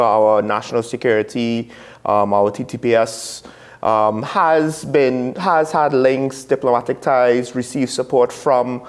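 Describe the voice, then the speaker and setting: Talk show host asking probing questions. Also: mid-range voice. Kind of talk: political talk show interviewing.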